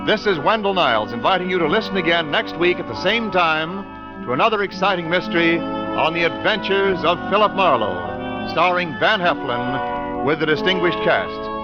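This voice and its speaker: A muffled old radio recording with music playing behind an American male announcer. His voice is slightly high and he speaks in a formal, polished 1940s broadcast style. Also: animated, normal speed. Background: loud music.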